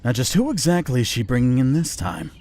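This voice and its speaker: Husky low guy narrating a story, voice goes all over, light music. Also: expressive, fairly quick, dark tone.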